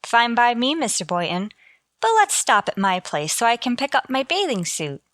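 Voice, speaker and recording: A sardonic female schoolteacher from a vintage radio comedy, played by an actress, speaks in a high, bright voice. Her dry sarcasm rides on lively swings of pitch. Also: moderate pace, no echo. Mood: wry. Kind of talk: 1940s radio sitcom acting.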